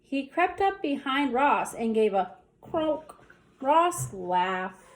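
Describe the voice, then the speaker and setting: A woman reading a children's story aloud, with deliberate, theatrical emphasis on the action words. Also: sits high, very animated. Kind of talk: expressive bedtime story read-aloud.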